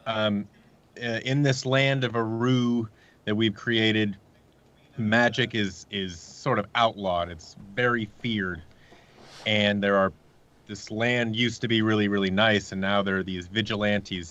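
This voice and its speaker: A man talking casually and a little haltingly as an interview guest, pitching an audio drama he helped create. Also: pushed and bright, lo-fi sound.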